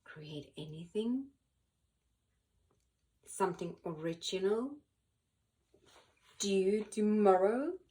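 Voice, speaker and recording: Expressive woman reading a children's story. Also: medium pitch, rough recording. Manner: animated, dramatic pauses and emphasis. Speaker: volunteer children's book reader.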